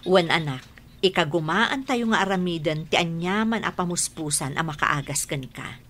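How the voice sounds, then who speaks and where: A woman playing a gentle, reassuring mother in an Ilocano radio drama, soothing and encouraging. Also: fairly low pitch, normal speed, a little hiss or hum, natural voice.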